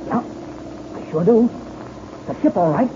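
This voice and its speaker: A man's voice: high voice, lively intonation, moderate pace, highs cut off, background noise. A young man playing an eager, boyish pilot in a 1930s radio serial, earnest and full of gee-whiz exclamations, on an old radio recording. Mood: excited.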